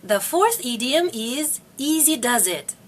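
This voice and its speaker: Slow, lively-voiced teacher woman. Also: high voice. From Russia. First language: Russian. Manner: repeats each phrase clearly for learners. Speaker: English teacher. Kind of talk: slow, careful video lesson.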